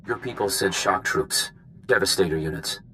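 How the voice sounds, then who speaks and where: An actor playing a dutiful young field agent in a video game, speaking crisply and professionally. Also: male voice, low-pitched, moderate pace, bright, forceful tone, slight background noise. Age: young adult. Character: eager, dutiful young male spy.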